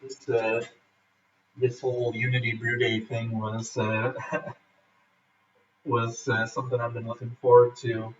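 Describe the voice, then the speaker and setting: A man from Michigan, chatting casually and haltingly with lots of fillers while livestreaming his home brew day. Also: medium pitch, monotone, slight background noise. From Michigan, USA. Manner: rambling, halting, many fillers, laughs often. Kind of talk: casual live-stream chat. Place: home brewing setup in Denmark, rainy day. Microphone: streaming camera and laptop. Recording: roomy, distant, dull lo-fi sound with faint ambience.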